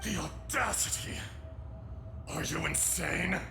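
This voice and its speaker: Gravelly, wrathful ancient dragon. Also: male voice, on the high side, slow pace, over music, heavily acted. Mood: outraged disbelief. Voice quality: gravelly growl. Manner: theatrical, menacing declamation. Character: wrathful ancient sealed dragon, bitter and vengeful. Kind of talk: video game voice acting.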